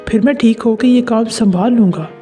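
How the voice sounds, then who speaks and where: A man voicing working men in a story, with a husky voice and scripted dialogue. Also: extremely high pitch, moderate pace, dark tone, soft background music. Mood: earnest. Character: several story men: labourer, boss, husband, officer.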